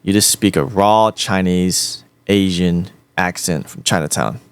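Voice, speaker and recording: A man's voice: sits low, a little slow, clear, resonant tone, no room sound. A man chatting casually with an AI voice assistant, rambling and repeating himself as he rephrases his questions. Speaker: host.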